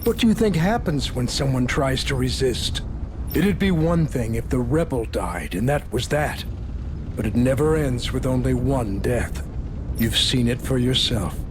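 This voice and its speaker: A male actor voices a weary doctor and mentor in a video game. He is measured and grave, a cautious man with a medium pitch. Clear rumbling noise sits behind him. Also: animated, lightly acted.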